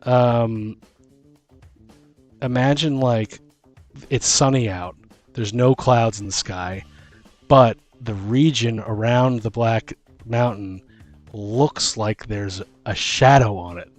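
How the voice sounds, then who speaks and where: A man talks in a mid-pitched voice over background music. He is a podcast host brainstorming fantasy stories casually, circling back over phrases as he works out each idea aloud. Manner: halting, thinking aloud, repeats phrases. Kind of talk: casual creative brainstorming about tabletop fantasy lore.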